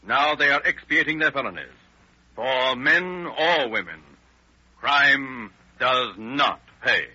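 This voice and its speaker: Solemn radio announcer, noisy recording. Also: male voice, mid-range voice, expressive, takes it slowly, pushed and bright, heavily acted. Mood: solemn, formal. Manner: formal, moralizing, declamatory. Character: solemn male crime-show announcer. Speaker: announcer and narrator. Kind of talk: solemn 1930s radio scripted narration. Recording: noisy, muffled old radio broadcast.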